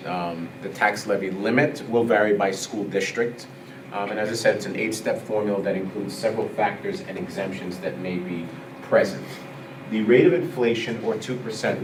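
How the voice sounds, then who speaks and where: Low-voiced man presenting in an echoey room. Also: distant mic. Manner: measured, explanatory, with frequent uh and um. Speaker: school administrator presenting budget. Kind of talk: board meeting presentation. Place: school board meeting room. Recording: echoey room picked up from afar, noisy.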